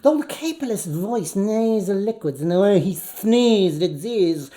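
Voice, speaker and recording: A man's voice: high-pitched, lively intonation, mellow. A man with an Irish accent giving a theatrical, playful literary reading, declaiming and drawing out words for a live audience. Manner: declamatory, dramatic, playful delivery with drawn-out words. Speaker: actor reading aloud.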